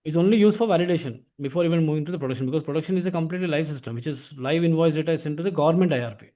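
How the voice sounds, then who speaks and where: Fast-talking Indian man teaching. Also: a little high, mellow. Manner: repeats filler tags like "actually". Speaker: instructor. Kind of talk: informal explanatory software lesson.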